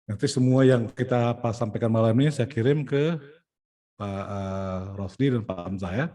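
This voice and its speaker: A middle-aged Indonesian man with a warm, relaxed tone walks through event plans point by point. He often leans on little check-ins to keep his listener along. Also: medium pitch, normal speed. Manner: explaining logistics step by step, frequent "nah" and "ya". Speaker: event organizer and poet. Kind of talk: planning meeting talk.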